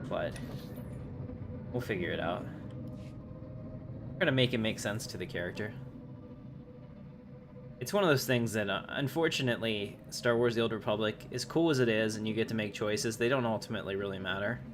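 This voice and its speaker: A man's voice: lowish voice, normal speed, pauses often, over music. A man giving casual, chatty game livestream commentary, rambling along in slangy talk. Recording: clearly noisy livestream audio.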